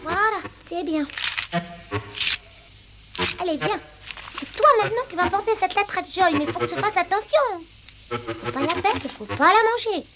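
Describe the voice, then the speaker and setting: A woman's voice: very high voice, sing-song, a little slow, long pauses, noisy background, exaggerated voice. A woman voicing an eager, playful, cajoling young child in a dubbed cartoon, chatty and exclamatory. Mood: playfully bossy. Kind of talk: animated cartoon dub acting.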